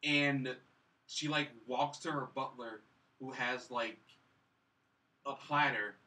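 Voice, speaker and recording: A man co-hosting a casual film review podcast, talking in a halting, repetitive way full of "like". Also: medium pitch, fairly even intonation, pushed and bright. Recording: slightly distant from mic.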